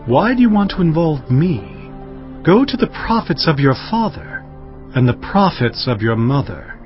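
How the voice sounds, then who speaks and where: A stern prophet of scripture, declaiming with solemn authority. His voice sits fairly high and swings widely in pitch. The sound is slightly dull, with music behind it. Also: sing-song, moderate pace. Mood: scornful. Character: stern commanding male prophet speaking for God. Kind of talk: dramatized scripture audiobook acting.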